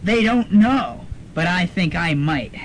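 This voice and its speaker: A man playing a dramatic, excitable college student, urgent and slangy, in a student radio drama. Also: high voice, takes it slowly, exaggerated voice. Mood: urgent, ominous. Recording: noisy amateur student radio recording.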